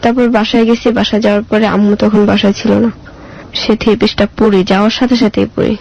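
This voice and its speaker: A woman's voice: mid-range voice, flat delivery, fairly quick, gravelly, a little mellow, lo-fi sound. A girl in her mid-teens with a colloquial Bangladeshi accent, telling her own story simply in an interview with short, plain answers.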